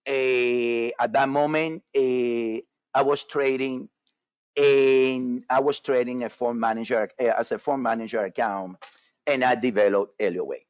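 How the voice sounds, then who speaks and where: A man's voice: mid-range voice, fairly even intonation, a little slow, smooth, no room sound. Cuban-born man hosting a webinar, dull audio. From Cuba. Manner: casual, repetitive, filler-heavy with many restarts. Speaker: trading webinar presenter. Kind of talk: live webinar talk.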